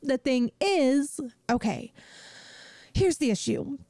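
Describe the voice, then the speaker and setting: A woman's voice: high-pitched, lively intonation, slow pace, warm tone, no echo, full character voice. A woman playing a bubbly, inept, lovestruck young yandere student in an audio roleplay, rambling and pleading dramatically with the listener. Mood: exasperated, rambling. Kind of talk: scripted ASMR audio roleplay, addressing the listener.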